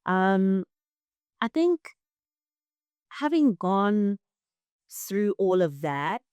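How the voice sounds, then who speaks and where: A woman's voice: medium pitch, sing-song, extremely slow, a little mellow, clean tone, no echo. A young adult woman, a guest in an interview, talking thoughtfully and often checking in with "right?". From South Africa. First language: Shona. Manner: thoughtful, frequent "right?" tags. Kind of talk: reflective interview talk.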